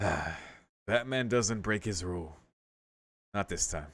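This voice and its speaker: A man's voice: deep voice, a little slow, fairly bright tone, no room sound, clean studio sound. A man reading a visual novel aloud in a casual let's-play style, mixing narration with his own commentary.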